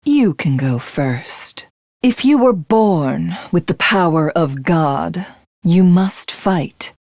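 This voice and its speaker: A woman's voice: lowish voice, expressive, slow, darkish tone, no echo, clean studio sound, full character voice. A woman voicing an imperious female alien warlord who deems herself a god in a video game, with a haughty, formal, theatrical delivery. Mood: haughty, stern. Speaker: voice actress. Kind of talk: English video game character voice lines.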